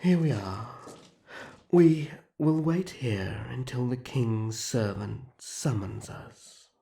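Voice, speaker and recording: A man playing a gentle, courteous young elf prince, soft-spoken and reassuring, in an intimate fantasy ASMR roleplay. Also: mid-range voice, takes it slowly, gravelly.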